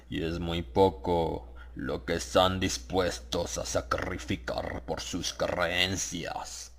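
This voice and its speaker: A man voicing a grave, reverent old monk of a sinister brotherhood in an audiobook, solemn and ingratiating, with a gravelly voice. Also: sits low, a bit bright, voice pushed down, exaggerated voice.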